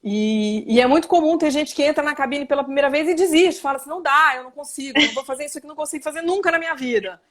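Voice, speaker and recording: A woman speaking with a Brazilian accent and a slightly high voice. She is a guest on a live online interview, patiently explaining her profession as an interpreter and journalist. She often restarts a thought and pins it down with an everyday example. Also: racing, hardly any pauses, a bit bright. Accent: Brazilian Portuguese. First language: Portuguese.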